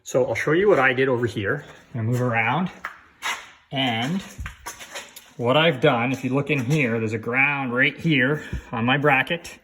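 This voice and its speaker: A man's voice: mid-range voice, moderate pace, bright, forceful tone, slight background noise, rough recording. Man casually explaining a DIY install. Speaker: vlogger giving a DIY install walkthrough. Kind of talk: casual how-to vlog, explaining hands-on.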